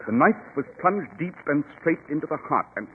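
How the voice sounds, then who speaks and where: An actor playing a shrewd, commanding gentleman detective, precise and formal, in 1940s radio drama style. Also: on the high side, sing-song, moderate pace, husky, exaggerated voice. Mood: keen, brisk. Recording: muffled, noisy 1940s radio recording.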